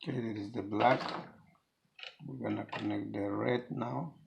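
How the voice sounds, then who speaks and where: A man presenting an unscripted hands-on how-to, speaking haltingly and repeating words as he works, with noise and clicks behind him. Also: fairly low pitch, fairly even intonation. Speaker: DIY tutorial presenter. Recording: clear background noise with clicks.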